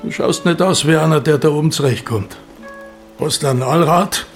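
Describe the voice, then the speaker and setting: A man playing a gruff, sceptical country innkeeper in an audio drama, plain-spoken with an Austrian accent. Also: fairly high pitch, normal speed, soft background music, natural voice. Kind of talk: audio drama dialogue in dialect.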